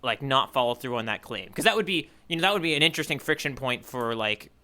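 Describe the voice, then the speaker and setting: A man's voice: medium pitch, animated, quick pace, pushed and bright. A man co-hosting a podcast, chatting casually about manga in a rambling, joking way. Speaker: podcast co-host.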